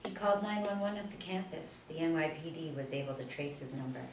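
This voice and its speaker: A composed female investigator, read by a woman from a script. Her voice is on the low side, measured and reassuring. The room echoes and there is clear background noise. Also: lively intonation, distant mic. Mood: calm, persuasive. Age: young adult. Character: composed, persuasive female federal agent. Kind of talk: scripted table read of a TV pilot. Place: small indoor room, seated reading.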